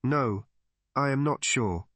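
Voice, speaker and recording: A man's voice: mid-range voice, slow pace, fairly bright tone, no echo, pitched above the performer's own voice. Man voicing a cautious, deferential lady's maid. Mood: unsure, reluctant. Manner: respectful, hesitant, plain-spoken.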